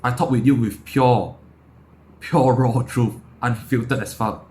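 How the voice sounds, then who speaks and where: A man's voice: medium pitch, steady tone, unhurried, darkish tone. A young man in his late teens from Malaysia with a Malaysian accent, giving a blunt, sweary, unscripted opinion talk as a vlogger. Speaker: self-improvement vlogger.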